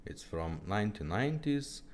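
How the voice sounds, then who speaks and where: Male demo presenter, very low voice with animated swings, plain step-by-step delivery, faint music. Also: moderate pace, a little hiss or hum. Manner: simple step-by-step explaining in short phrases. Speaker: car radio technician presenting a demo. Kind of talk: casual product demonstration.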